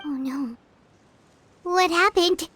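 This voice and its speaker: An actress playing a tiny childlike fairy companion in a video game, earnest and curious, with a squeaky voice. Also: female voice, slow pace, sharp tone, slight background noise, heavily acted. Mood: worried. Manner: earnest, inquisitive. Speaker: game character actor. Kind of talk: animated video game dialogue.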